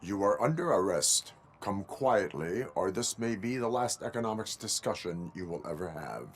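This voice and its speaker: A man playing a stoic cyborg police officer in a video game, speaking in a formal, measured, robotic deadpan. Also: fairly low pitch, a little hiss or hum. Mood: stern, deadpan.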